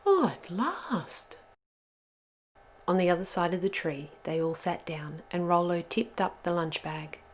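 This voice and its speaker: A woman narrating a children's picture book as a storyteller, in a deliberate and expressive manner. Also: lowish voice, slight background noise.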